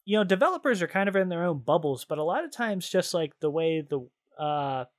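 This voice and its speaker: A man with a slightly high voice talks as a podcast co-host. He rambles enthusiastically in loose slang, as in a casual chat about games and shows. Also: very animated, brisk.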